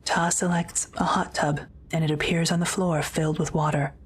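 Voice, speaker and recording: Low-voiced woman narrating an audio drama. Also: level tone, moderate pace, breathy edge.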